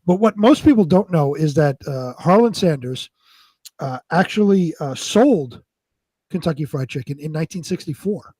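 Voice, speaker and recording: A man with a fairly high voice narrates as co-host of a live show. His delivery is unpolished and somewhat halting, and the sound is very dry with no room to it. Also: expressive, mellow, breathy edge, no echo. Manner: halting, repeats words when ad-libbing. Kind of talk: amateur scripted narration and casual chat.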